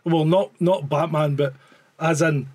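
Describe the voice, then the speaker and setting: A Scottish man with a husky edge and a fairly high voice co-hosts a casual live chat. He tells things in a rambling, sweary way with dry humour. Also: fairly even intonation, a little slow, darkish tone, no echo. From Scotland. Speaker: live stream co-host.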